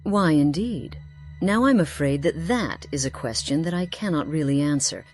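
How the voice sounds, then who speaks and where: An actress voicing a long-lived, lonely woman in a fantasy game, thoughtful, courteous and formally eloquent in a measured way. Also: low-pitched, lively intonation, a little mellow, faint music behind. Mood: coy, probing. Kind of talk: scripted fantasy game dialogue.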